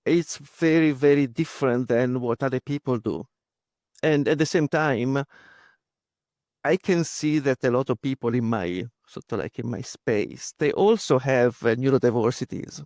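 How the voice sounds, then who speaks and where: A man's voice: medium pitch, normal speed, darkish tone, dry recording, clean studio sound. A man in his thirties with an Italian accent, talking as a podcast guest with many fillers and restarts. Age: 30s. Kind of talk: casual interview talk.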